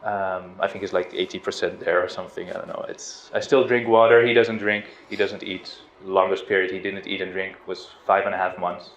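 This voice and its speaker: A middle-aged man from the Netherlands speaks in a relaxed, hesitant way, restarting his sentences and laughing softly now and then. His voice sits on the low side. The sound is roomy and somewhat dull, with faint noise underneath. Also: fairly quick, few pauses. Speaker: spiritual teacher. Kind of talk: informal satsang talk. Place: outdoors on a river boat.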